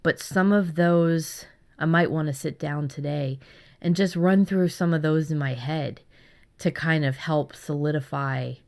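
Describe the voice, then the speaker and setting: Woman in her thirties, low voice, podcast co-host, effusive, emphatic, chatty. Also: unhurried, darkish tone. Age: 30s. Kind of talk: casual podcast chat.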